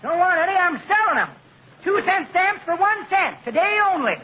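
High-pitched folksy old-timer. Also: male voice, lively intonation, moderate pace. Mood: cheeky salesmanship. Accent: American. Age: older. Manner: folksy, rambling. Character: chatty, inquisitive old-timer man, folksy and cheeky. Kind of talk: 1940s radio comedy acting. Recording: noisy, tinny old radio recording.

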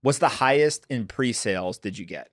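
A man's voice: medium pitch, animated, moderate pace, no echo, clean studio sound. A man in his forties hosting a podcast, talking loosely with slang and jokes. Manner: loose, joking, informal slang. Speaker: podcast host. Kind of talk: casual conversational interview.

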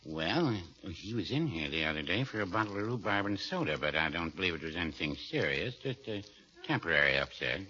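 A man's voice: sits low, animated, fairly quick, sharp tone. A man playing a mild, hesitant older small-town druggist in a 1940s radio comedy, fussy and hedging as he talks. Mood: cautious, helpful. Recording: dull, noisy vintage radio recording.

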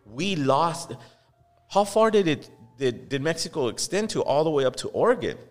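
A man's voice: on the high side, very animated, moderate pace. Heated podcast host, man, swearing freely, long room reverb, faint music underneath. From Los Angeles. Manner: impassioned, profane, mocks others in quoted voices. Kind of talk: heated casual podcast talk. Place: podcast studio.

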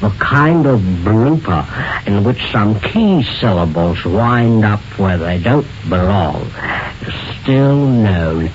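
Male radio presenter, scripted narration, slow delivery, animated pitch, dull hissy vintage recording. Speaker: radio presenter of a short language feature.

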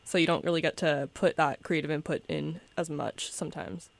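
Young podcast host, relaxed and supportive, gravelly fry, dry close sound. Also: mid-range voice, brisk, no room sound. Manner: relaxed, supportive, asks questions, uses "like" and "um". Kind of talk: casual podcast interview.

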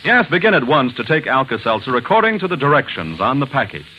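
A man's voice: mid-range voice, big pitch swings, fast. A man reading an old-time radio advertisement as an earnest, advisory announcer. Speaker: commercial announcer. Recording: dull, hissy old radio recording.

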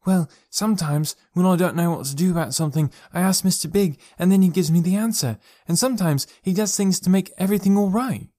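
A man's voice: sits high, brisk, hardly any pauses, dark tone, voice pushed up, natural voice. A man voicing a small, stubborn ten-year-old boy who speaks in a pleading, insistent way. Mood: earnest.

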